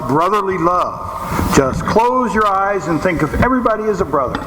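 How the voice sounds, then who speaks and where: Guy playing fed-up radio straight man, high voice, bossy scolding, echoey hall. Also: lively intonation. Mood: exasperated. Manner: insistent, reproving, brisk interjections. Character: exasperated, scolding straight man to a foolish comic. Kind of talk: old-time radio comedy acting. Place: live theatre stage. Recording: echoey hall stage performance, noisy.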